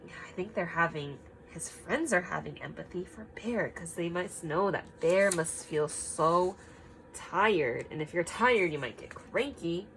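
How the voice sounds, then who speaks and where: Slow, animated woman reading to children. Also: fairly low pitch, a little hiss or hum, rough recording. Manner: expressive, child-directed, emphatic, asks simple questions. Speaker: teacher reading a picture book aloud. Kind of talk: children's read-aloud with guiding questions.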